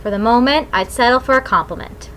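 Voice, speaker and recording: Bright-voiced woman reading a novel. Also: a little high, fast talker. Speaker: audiobook narrator. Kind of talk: scripted first-person novel narration.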